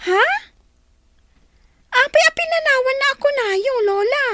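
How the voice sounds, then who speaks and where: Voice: very high-pitched, animated, moderate pace, bright, forceful tone, no room sound, studio quality, exaggerated voice. A performer acting a small, anxious, questioning grandchild in a radio drama.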